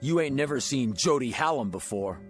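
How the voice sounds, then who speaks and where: An actor in a radio drama playing a brash, bitter working-class hustler who talks in combative, slangy wisecracks. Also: male voice, medium pitch, animated, moderate pace, soft background music, natural voice.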